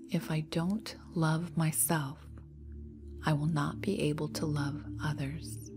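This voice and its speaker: A woman leading a guided meditation in a soft, breathy, half-whispered voice, soothing and unhurried, leaving long pauses. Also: sits low, fairly even intonation. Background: meditation music.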